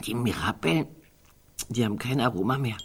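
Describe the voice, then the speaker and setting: A woman's voice: very deep voice, expressive, fairly quick, noisy background. An actress playing a wry, musing, fussy older woman in a literary radio drama, digressing as she talks. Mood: brooding, wry.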